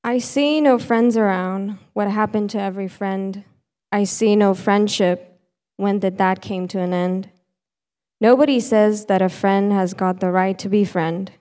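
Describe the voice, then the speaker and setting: A woman giving a solemn, measured recitation of poetry to a hall as a student reader. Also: medium pitch, steady tone, warm tone. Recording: reverberant hall.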